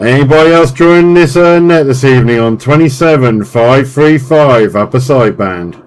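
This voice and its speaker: A man's voice: mid-range voice, animated, moderate pace. An English man running a CB radio net, chatting in a matey, colloquial way. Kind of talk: casual CB radio chat. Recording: loud radio static and hiss. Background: constant sideband static noise.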